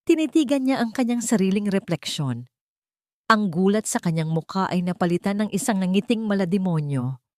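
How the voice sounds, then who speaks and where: A woman's voice: mid-range voice, lively intonation, moderate pace, no echo, studio quality. A woman narrating a dramatic first-person story in a tense, suspenseful way.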